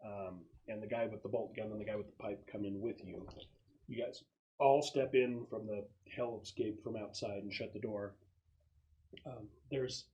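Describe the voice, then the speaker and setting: A man's voice: fairly low pitch, darkish tone. A man running a tabletop roleplaying game as game master, describing scenes casually and piecing them together aloud.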